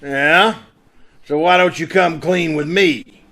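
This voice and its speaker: A man playing a gruff, suspicious police inspector in an old-time radio drama, sardonic and accusing, over a video call. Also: on the high side, fairly even intonation, takes it slowly, sharp tone. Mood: dry, suspicious. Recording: faintly noisy video call recording.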